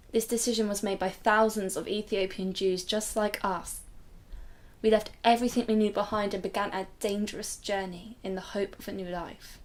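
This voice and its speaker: Earnest protective grandma played by a woman, radio play storytelling, middling pitch. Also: a little mellow, lightly acted. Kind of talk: radio drama acting.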